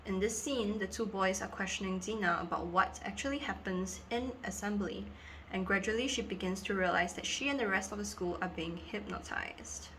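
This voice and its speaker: A woman's voice: mid-range voice, normal speed, a bit bright, slight background noise, low-quality recording. A woman presenting acting scripts, reading the synopsis aloud to camera. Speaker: amateur actor presenting scripts.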